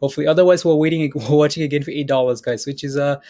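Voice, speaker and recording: A man's voice: a little high, steady tone, very fast, dark tone. Chatty man hosting a stock livestream. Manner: chatty, repeats phrases, reads chat names aloud, laughs often. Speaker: stock trading livestream host. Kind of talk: casual live market commentary.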